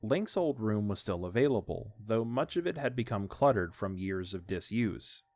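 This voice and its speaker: A man's voice: lowish voice, lively intonation, moderate pace, darkish tone, no room sound. A man reading scripted story narration as the narrator of an audiodrama.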